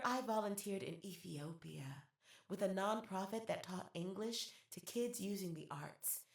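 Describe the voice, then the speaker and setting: A woman's voice: lowish voice, no room sound, clean studio sound. A young woman with an American accent acting a savvy theater student in an audio play, sardonic and theatrical as she talks to the audience. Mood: polished, performing. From Detroit, United States. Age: young adult. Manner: theatrical, sardonic, swinging between confiding and performing. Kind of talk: dramatic monologue to the audience.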